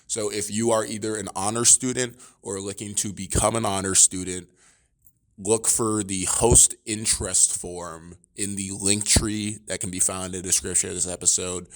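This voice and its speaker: A young man hosting a podcast, reading announcements and joking with his guest. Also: deep voice. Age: young adult. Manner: joking, upbeat, teasing the guest. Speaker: podcast host.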